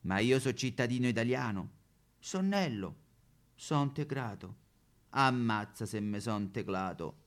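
A man's voice: mid-range voice, animated, a little slow, natural voice. A man playing a cheerful, boastful Chinese immigrant restaurateur with a Roman accent in a satirical stage monologue. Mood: proud.

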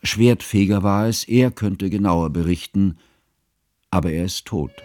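A scholarly, scrupulous narrator in a radio play. He has a low voice and tells his friend's story in precise, ornate, reflective literary sentences. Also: a little slow, dark tone. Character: learned, scrupulous friend narrating a composer's life.

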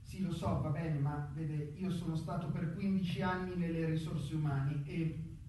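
Man acting a slow, high-voiced insinuating employee. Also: fairly even intonation, warm tone, close to an everyday voice. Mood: worried insistence. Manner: halting, repetitive, insistent, trailing into questions. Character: insinuating middle-aged male employee, ex human resources, unsettlingly observant. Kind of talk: Italian radio play acting. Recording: very echoey, distant, faint background noise.

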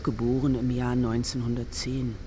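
A man reading out formal notices as an announcer, deliberate and monotone. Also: mid-range voice, background noise, lightly acted.

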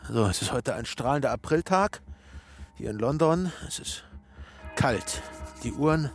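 An actor playing a wary dissident man in a radio drama, confiding haltingly as if to listeners. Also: medium pitch, expressive, faint music behind. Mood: halting, testing. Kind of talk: modern radio drama monologue.

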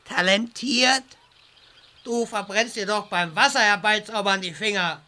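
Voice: mid-range voice, unhurried, sharp tone. An actor playing a snide, bullying master wizard who rants with theatrical sarcasm in a fantasy parody audio drama. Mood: scornful. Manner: sarcastic, scornful, theatrical ranting.

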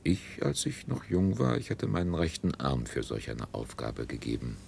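An actor playing a smug, pompous aging king in a science fiction radio drama, savouring his words in a gravelly voice. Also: rumbling low, big pitch swings, normal speed, a little mellow, heavily acted. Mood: pompously nostalgic. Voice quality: gravelly, creaky.

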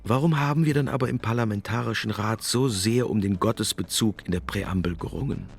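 Low-voiced male documentary narrator over music. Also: fairly quick. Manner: explanatory, rhetorical. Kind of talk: scripted reflective documentary narration.

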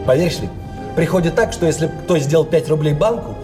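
An actor playing an eager, restless card sharp in a theatrical audio play, speaking in an animated, pleading way. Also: male voice, on the high side, fast talker, lightly acted. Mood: excited longing. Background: music.

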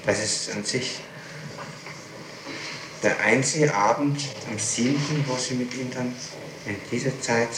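A man questioning someone in a police interrogation, methodical and insistent, speaking haltingly with pauses. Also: mid-range voice. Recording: echoey, noisy 1960s archive tape.

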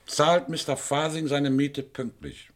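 An actor playing a persistent police inspector in a German radio crime drama, husky, formal, courteous but firm. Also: male voice, on the high side, steady tone, a little slow, natural voice. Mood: insistent, stern. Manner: probing, insistent, formal.

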